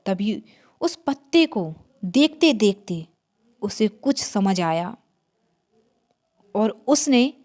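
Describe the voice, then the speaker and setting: A woman's voice: medium pitch, big pitch swings, drawn-out words, long pauses, a little mellow. A woman reading a scripted horror story as its narrator.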